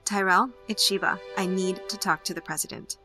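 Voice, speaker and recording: A woman's voice: mid-range voice, fairly even intonation, normal speed, over music, close to an everyday voice. An actress playing a young married woman who is loyal but desperate, speaking emotionally and sharply. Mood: upset. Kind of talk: audio drama acting.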